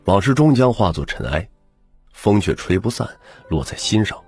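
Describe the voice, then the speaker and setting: A man with a low, very rough and husky voice narrates an audiobook in the first person. His delivery is dramatic and lively in intonation, with music playing softly underneath. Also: normal speed. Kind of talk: scripted novel narration. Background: background music.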